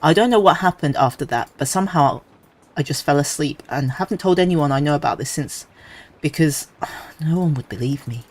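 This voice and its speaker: A male streamer reading creepy stories aloud, with relaxed, chatty asides between them. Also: a little high, a little fast.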